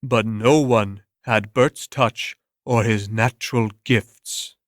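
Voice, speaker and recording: A man's voice: fairly low pitch, fairly even intonation, takes it slowly, no echo, clean studio sound. A man narrating a scripted story as part of a dramatic reading.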